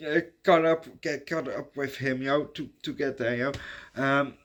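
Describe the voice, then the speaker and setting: A Dutch man, native Dutch speaker, hosting his channel in halting casual chat full of fillers and restarts. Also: mid-range voice, steady tone, a bit bright. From Netherlands. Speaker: YouTube reviewer giving shout-outs.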